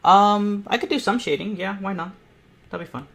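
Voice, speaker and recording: A young man hosting an online art panel, chatting casually and excitably as he draws, thinking aloud. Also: sits high, moderate pace, gravelly. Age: teens or young adult. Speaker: host of an online painting panel. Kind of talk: casual chat while drawing live.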